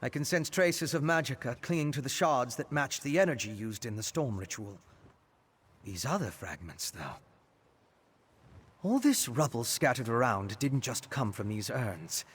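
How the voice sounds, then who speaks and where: A man acting as a learned gentleman vampire in a fantasy game, with a medium-pitched voice. He is reserved and polite, phrasing things precisely and analytically, with some ambient noise behind him. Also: expressive, natural voice. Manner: measured, articulate, analytical. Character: courteous, scholarly male vampire investigator, thoughtful and reserved. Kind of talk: video game character dialogue.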